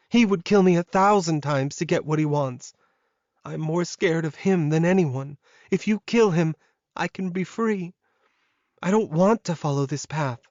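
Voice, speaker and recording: Guy doing a scheming young she-wolf, high pleading voice, emotional, audiobook villain, dry sound. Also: darkish tone, no room sound, highs cut off. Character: evil young werewolf woman, pleading, scheming, defiant. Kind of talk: audiobook character acting.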